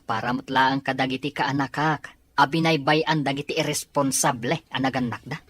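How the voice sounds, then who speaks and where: A man playing a caring, responsible and often frustrated younger brother with a husky voice and Filipino Ilocano accent in an audio drama. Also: mid-range voice, fairly even intonation, normal speed, a bit bright, dry recording, natural voice. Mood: resigned, concerned.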